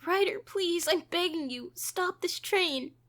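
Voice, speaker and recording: A woman's voice: very high-pitched, takes it slowly, fairly bright tone, pitched above the performer's own voice, exaggerated voice. A woman voicing a grief-stricken, pleading young female train worker in a visual novel, with an urgent, cracking delivery.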